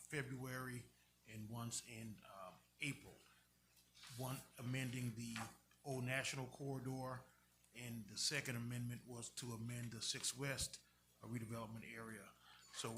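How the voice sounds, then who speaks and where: A man's voice: medium pitch, pushed and bright. Man explaining procedure over room noise. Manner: explanatory, frequent uh fillers. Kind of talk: explaining a legal procedure at a council meeting. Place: council chamber.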